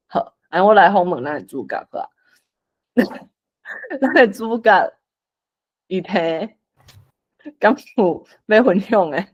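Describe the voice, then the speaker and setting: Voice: medium pitch, dull audio. A speaker with a Taiwanese accent informally hosting an online discussion and inviting others to share. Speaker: forum host. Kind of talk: informal online event moderation.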